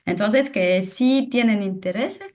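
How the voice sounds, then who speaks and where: A young woman presenting a webinar in a language that is not her first, halting with many fillers and restarts. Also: mid-range voice. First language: not Spanish. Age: young adult. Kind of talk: webinar talk on soil.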